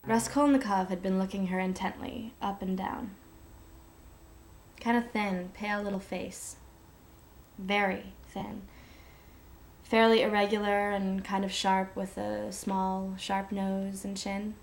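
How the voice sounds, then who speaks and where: A woman's voice: medium pitch, level tone, takes it slowly. A woman reading descriptive literary prose aloud as an audition piece. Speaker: actor auditioning.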